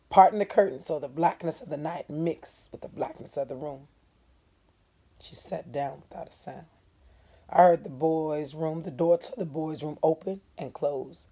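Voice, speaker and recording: A woman narrates a novel in the first person with dramatic expression. Her voice sits low, with a mellow, husky grain, and she can drop into hushed, breathy tones. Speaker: storyteller reading a novel aloud.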